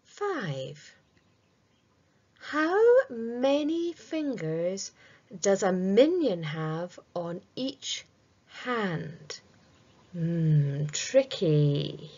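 Very slow-speaking woman reading a quiz. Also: mid-range voice, dull audio. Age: middle-aged. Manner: deliberate, sing-song delivery with pauses. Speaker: quiz presenter reading aloud. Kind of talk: read-aloud multiple-choice quiz for children. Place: small room indoors.

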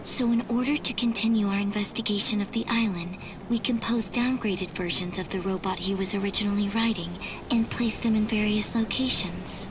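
A woman voicing a cool, analytical artificial intelligence girl in a video game, speaking in a measured, formal, detached way. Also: mid-range voice, fairly even intonation, noisy background, lightly acted. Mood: cool, informative.

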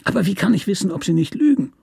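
An actor playing a hard, resentful convict in a radio play, with a nasal, whining, hissing voice that pleads and threatens. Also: male voice, sits high, lively intonation, quick pace, creaky, a little mellow, breathy edge, no room sound, clean studio sound, voice pushed up, heavily acted. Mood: wary pleading. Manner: pleading, aggrieved, snarling threats. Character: hard, lean male convict, resentful, cornered, whining and menacing.

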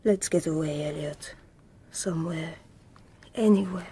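An actress playing a possessive, emotional woman in love in a radio drama, with an intense, imploring, breathy delivery. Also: sits low, measured pace, warm tone, full character voice. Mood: desperate.

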